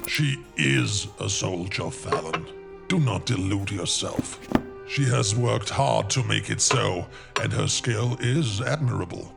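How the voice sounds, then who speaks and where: A man playing a stern, cold military father and boss in an animated comic dub, with a gravelly voice and a formal, clipped, unyielding manner. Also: fairly low pitch, takes it slowly, exaggerated voice. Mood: stern, dismissive. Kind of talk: animated comic fandub acting. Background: music.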